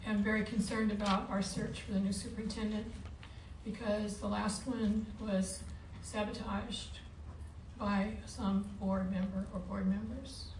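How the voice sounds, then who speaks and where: A woman giving a halting, unscripted answer as a candidate at a public forum, repeating words as she goes. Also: medium pitch, flat delivery, a little mellow. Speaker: school board candidate at a forum. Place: meeting board room. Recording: echoey board room, distant mic, background noise.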